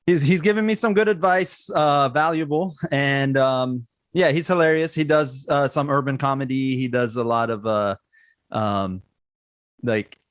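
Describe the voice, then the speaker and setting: A man's voice: medium pitch, a little slow, no room sound, studio quality. A man in his forties with a Persian accent, who grew up in Iran, hosting a casual podcast chat full of fillers and repeated yeahs. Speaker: podcast host.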